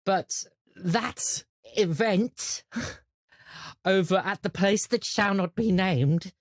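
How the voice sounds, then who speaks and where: A conniving old matriarch, as a game master puts her on, pitched up high and unhurried. She coaxes sweetly in grandmotherly terms, as in a tabletop game, very close and dry. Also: dry recording. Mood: gleeful, impressed. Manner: coaxing, grandmotherly endearments. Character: sly, conniving, sweet-seeming old lady crime matriarch.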